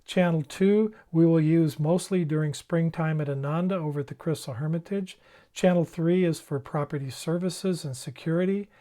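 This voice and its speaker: A man's voice: on the high side, dark tone. A man giving an informal, plain-spoken instructional explanation, step by step, as a presenter. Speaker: presenter demonstrating emergency radios.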